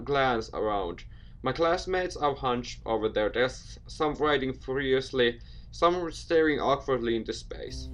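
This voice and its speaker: A young man hosting a gaming video, reading a visual novel aloud theatrically with casual asides. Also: mid-range voice. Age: young adult.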